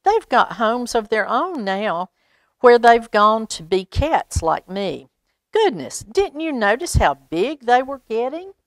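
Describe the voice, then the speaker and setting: A woman's voice: a little high, big pitch swings, slow pace, no room sound, pitched above the performer's own voice, heavily acted. Woman as mother cat, stern, curt, no-nonsense, brusque, matter-of-fact, children's storybook. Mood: brisk, dismissive.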